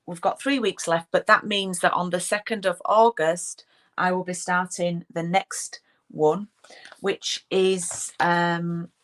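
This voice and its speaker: A woman with a broad Yorkshire accent, hosting a casual live craft stream and greeting viewers by name as she chats. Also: on the low side. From Yorkshire, England. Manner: chatty, greets viewers by name, frequent ums and restarts. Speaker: card-making demonstrator hosting a live stream.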